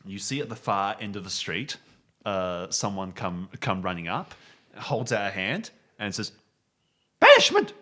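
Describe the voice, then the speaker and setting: A man running a tabletop game as game master, improvising descriptive narration in a halting way. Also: low voice, bright, forceful tone.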